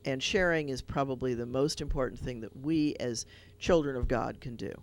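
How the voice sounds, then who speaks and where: A man, a rabbi hosting a radio talk show, chatting casually and telling a children's story in an animated way. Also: medium pitch, moderate pace, vocal fry. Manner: animated storyteller, retelling a fable.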